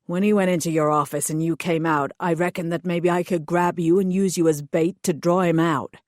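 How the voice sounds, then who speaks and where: A woman's voice: lowish voice, steady tone, a little fast, darkish tone, no room sound, clean studio sound, close to an everyday voice. A woman reading aloud, voicing a big hired male thug who speaks bluntly and matter-of-factly.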